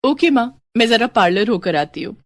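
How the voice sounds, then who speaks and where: A woman voicing an eager, impatient young bride in an expressive storybook dramatization. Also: fairly high pitch, moderate pace. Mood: protesting. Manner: expressive, exclaiming. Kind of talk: Hindi moral-story dramatization.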